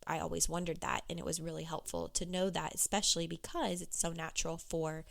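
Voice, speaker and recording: A woman business coach talking candidly on her solo podcast, with a hoarse, froggy voice. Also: low voice, brisk. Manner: candid, conversational, with fillers.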